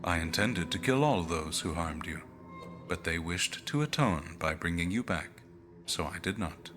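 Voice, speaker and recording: A man voicing a calm, detached spirit of lost power in a visual novel, speaking in a measured, formal way. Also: deep voice, music in the background. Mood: measured, matter-of-fact. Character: calm clinical spirit of cast-away power, a killer.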